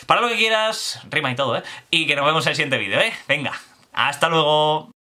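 A young man, talking to camera from his bedroom about football news in a chatty, energetic way. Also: a little high. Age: young adult. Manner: fast, energetic and chatty, addressing viewers directly. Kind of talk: casual news commentary to camera. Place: bedroom with posters.

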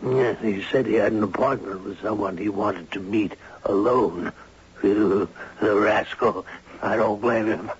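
An older doctor in a vintage radio drama. His voice is lowish and very rough and husky, his manner affable and courteous with a teasing streak. The sound is a little dull. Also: unhurried. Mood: amused. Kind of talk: 1970s radio drama acting. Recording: muffled 1970s radio recording.

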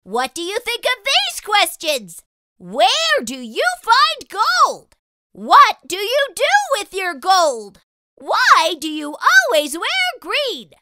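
A woman's voice: very high voice, pushed and bright, no echo, full character voice. A woman voicing a small, curious, childlike spot creature who eagerly asks lots of questions in a children's storybook read-aloud.

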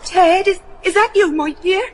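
Shrill, terrified wife. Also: female voice, a little slow, a little hiss or hum. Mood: fearful, tearful. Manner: breathless, pleading, tremulous. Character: terrified working-class wife searching for her husband. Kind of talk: gothic horror radio drama acting.

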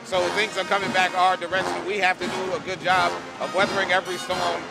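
A man's voice: sits high, level tone, vocal fry, over loud music, rough recording. A man hosting a postgame sports interview from courtside in a large arena, on a headset mic, reading out stats. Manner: brisk, reads out stats. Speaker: postgame radio host.

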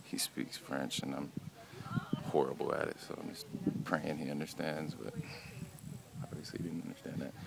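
A man's voice: very deep voice, flat delivery, moderate pace, creaky, pauses often. A young man narrating a friend's launch on a mountain slope, joking, swearing and laughing, recorded on a phone outdoors. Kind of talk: excited casual narration. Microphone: phone camera held by speaker. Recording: outdoor phone video with faint wind noise.

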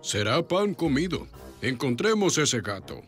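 A man's voice: mid-range voice, very animated, a little slow. A man voicing a confident, hearty talking machine that gives commands to a rescue team in a children's cartoon dub. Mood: commanding. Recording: loud music behind the voice.

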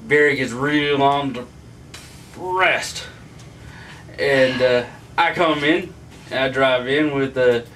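A man's voice: medium pitch, fairly bright tone. A man acting a folksy, hard-drinking good old boy who talks in a hesitant, roundabout way in a comedy sketch. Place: small indoor room, camera mic. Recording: distant camera mic, background room noise.

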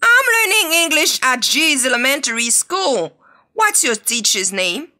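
A woman reading scripted English dialogues and exercise questions for a learners' audio book, clear and deliberate. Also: sits high, sing-song, pushed and bright. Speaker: textbook audio reader.

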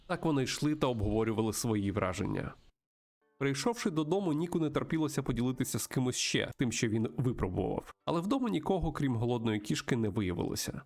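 A man with a creaky voice, hosting a let's-play, reading a visual novel aloud and adding his own commentary. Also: mid-range voice. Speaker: host of a video game let's-play show.

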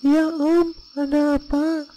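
A woman's voice: high-pitched, flat delivery, slow pace, mellow, slight background noise. A woman voicing a small, cheeky child ghost in a comic cartoon, talking in a childlike, casual, cocky way. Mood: curious.

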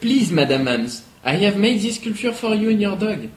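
An actor playing an excitable, effusive French sculptor in an amateur radio play, exclaiming and gushing with a French accent. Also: mid-range voice, moderate pace, reverberant, close to an everyday voice. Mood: delighted.

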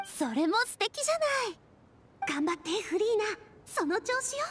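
A woman's voice: very high-pitched, sing-song, moderate pace, fairly bright tone, a little hiss or hum, full character voice. A woman voicing a bold, breezy young female monster hunter in an anime game. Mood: encouraging. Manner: breezy, upbeat. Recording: music behind the voice.

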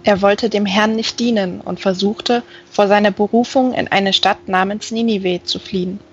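A woman voicing a gentle, learned male fallen angel in an audiobook, earnestly explaining scripture. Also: mid-range voice, fairly even intonation, normal speed, highs cut off, a little hiss or hum, natural voice.

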